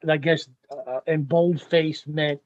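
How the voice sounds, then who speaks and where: A man's voice: fairly high pitch, fairly even intonation, takes it slowly. A man presenting his site plans to a village board, explaining in a practical, plain-spoken way. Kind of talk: explaining at a public meeting. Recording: lo-fi meeting audio.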